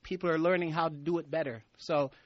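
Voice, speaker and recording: A man's voice: a little high, steady tone, fast, lo-fi sound. A man hosting a casual radio interview, teasing and playful, laughing often. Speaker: radio host.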